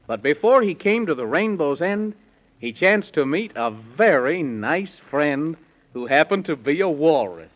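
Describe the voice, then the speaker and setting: A lively, fairly high-voiced storyteller recounts a children's tale in singsong rhyme. The delivery is theatrical and excitable, with big swings of pitch. It sounds like a muffled 1930s radio show with faint music underneath. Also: male voice, measured pace. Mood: excitable storytelling. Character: excitable rhyming bedtime storyteller. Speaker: rhyming narrator.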